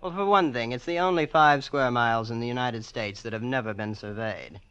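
A man's voice: medium pitch, brisk, a bit bright, clean tone, natural voice. A man acting a young, rich heir in a radio drama, boastful in a breezy, nonchalant way. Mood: breezy, smug. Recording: dull vintage radio recording.

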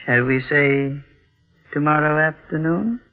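An actor playing a sly, unctuous older uncle with a hesitant, insinuating drawl in an old-time radio mystery. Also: male voice, a little high, unhurried, over music. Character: sly older uncle turned blackmailer, unctuous then terrified. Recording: narrow-band vintage 1940s radio recording.

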